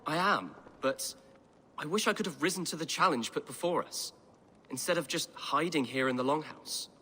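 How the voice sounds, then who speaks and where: A man's voice: on the high side, moderate pace, pushed and bright, husky. A young actor playing an earnest young nobleman who sounds full of self-doubt. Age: young adult. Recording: game cutscene with hall reverb and music.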